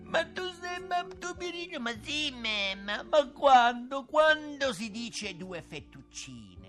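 An actor voicing an exasperated mother in a radio storybook, scolding and shouting at her son. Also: very high-pitched, big pitch swings, takes it slowly, music in the background, pitched above the performer's own voice, full character voice.

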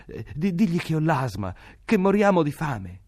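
A man's voice: a little high, sing-song, moderate pace, a little mellow, pitched above the performer's own voice. A man voicing a poor, desperate peasant mother with asthma, pleading anxiously.

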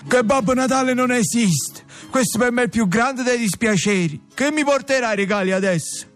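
An actor playing a naive, whiny young son of a crime family in a comic radio drama. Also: male voice, very high voice, moderate pace, fairly bright tone, soft background music.

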